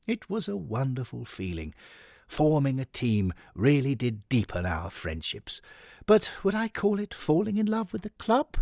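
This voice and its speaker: A man voicing an elderly Victorian gentleman, fussy, sentimental and dithering, in a dramatic audiobook monologue. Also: medium pitch, wildly swooping pitch, unhurried, no echo, studio quality, heavily acted. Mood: flustered, musing. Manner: dithering, old-chum endearments, breathy swoons. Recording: music behind the voice.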